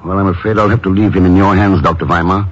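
An actor playing a composed, steady secret agent in a 1950s radio drama, with an understated, unhurried manner. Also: male voice, low voice. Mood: polite, understated. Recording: muffled, noisy old radio recording.

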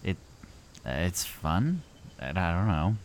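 A man playing an easygoing, friendly young camper in an audio drama, talking casually and a little haltingly. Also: low-pitched, very animated, slight background noise, heavily acted. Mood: friendly, unsure. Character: easygoing, friendly young male camper who loves Frisbee.

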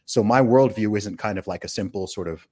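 A man with a lowish voice hosts a conversational podcast. He argues his views with emphasis, often repeating a word as he builds a point and tagging it with a quick check for agreement. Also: darkish tone, husky. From born in the UK, grew up in Saudi Arabia. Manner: opinionated, emphatic, repeats words and often tags points with "right?". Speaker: podcast host.

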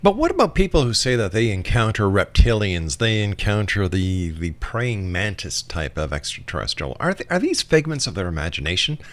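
A man's voice: fairly low pitch, big pitch swings, moderate pace, few pauses. A man hosting an interview in a conversational style, musing aloud and putting questions to a guest. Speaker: interview host.

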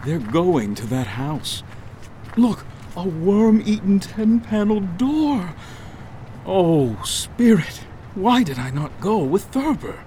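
An actor playing a fussy, curious writer led by a spirit, making nervous, wondering asides in a radio drama. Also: male voice, high-pitched, big pitch swings, takes it slowly, dark tone, slight background noise, full character voice.

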